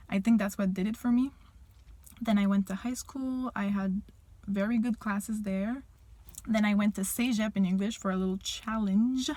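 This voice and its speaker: A woman's voice: mid-range voice, darkish tone, dry recording. Young Quebecois woman, dry close sound. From Quebec, Canada. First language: French. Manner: candid, reflective, pausing mid-thought to rephrase. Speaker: vlogger. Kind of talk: casual personal chat to viewers.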